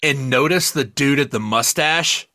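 A man's voice: mid-range voice, unhurried, pushed and bright, no echo, clean studio sound. A man co-hosting a podcast, chatting casually and enthusiastically about media, with plenty of swearing. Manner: profane, enthusiastic. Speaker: podcast co-host.

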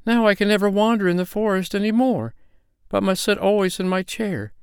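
Man putting on a high, timid little girl. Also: big pitch swings, normal speed, clear, resonant tone, no room sound, clean studio sound. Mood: weeping. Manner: polite, earnest, plaintive.

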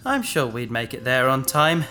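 An actress playing a wry space adventurer who is fatally wounded, weak and drowsy, speaking in halting understatement in a sci-fi audio drama. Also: female voice, very deep voice, very animated, pushed and bright, faint music behind, exaggerated voice. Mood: fading, wistful. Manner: faint, halting, dryly understated.

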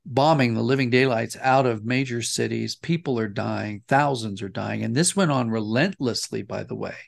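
Male, interview guest, medium pitch, creaky voice, measured explanatory delivery. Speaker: interview guest, audio dramatist.

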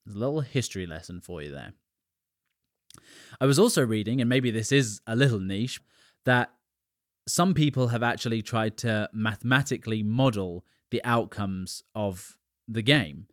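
A man's voice: lowish voice, animated, normal speed, no room sound, clean studio sound. A man from the UK hosting a podcast, talking casually with chatty asides and little jokes. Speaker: podcast host. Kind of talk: casual solo podcast monologue.

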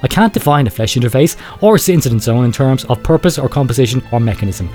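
A man playing a grave researcher, telling a horror confession in a measured, clinical and ominous way. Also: medium pitch, lively intonation, a little mellow, soft background music, lightly acted. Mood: clinical dread. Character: grave male researcher confessing secret horrific experiments. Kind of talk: dramatic horror storytelling, read aloud.

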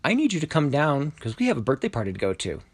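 A man's voice: fairly high pitch, wildly swooping pitch, fast talker, breathy edge, dry recording, voice pushed up, heavily acted. A man voicing a bossy, determined female magical horned creature in a children's story, exasperated and commanding. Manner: indignant, commanding.

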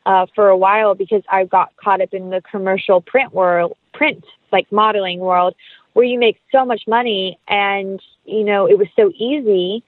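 A woman's voice: medium pitch, fairly even intonation, normal speed, no echo, narrow, tinny audio. An American woman speaking effusively and casually as a guest in an interview. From North Carolina, United States. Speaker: guest actress.

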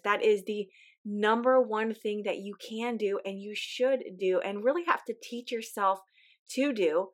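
Brief: woman host, voice weak from illness, earnest and encouraging, middle pitch. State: weak, recovering voice. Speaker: podcast host. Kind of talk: casual podcast monologue.

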